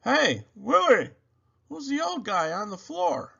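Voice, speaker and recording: Cocky city kid for a storybook, man performing: higher voice, brash, swinging intonation. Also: very animated, slow pace, creaky, a bit bright, slightly rough, lo-fi sound, exaggerated voice. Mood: cheeky. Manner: brash, snappy. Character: cocky, street-smart boy playing at Santa.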